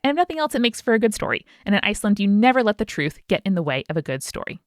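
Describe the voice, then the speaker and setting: A woman co-hosting a podcast, reading out a listener's story in an animated way with chatty asides. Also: medium pitch, racing, no room sound, clean studio sound. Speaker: podcast co-host.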